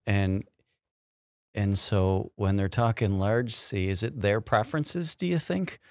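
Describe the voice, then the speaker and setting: Creaky-voiced man hosting casually. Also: on the low side, a little slow, darkish tone, dry recording, studio quality. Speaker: podcast host. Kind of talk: casual conversational interviewing. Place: small indoor podcast studio. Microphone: close studio mic on stand.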